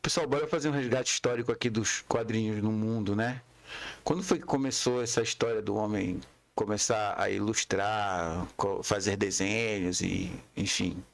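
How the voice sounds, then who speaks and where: A man's voice: lowish voice, fairly even intonation, moderate pace, creaky. A man hosting a podcast interview in casual, conversational Portuguese. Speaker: podcast host.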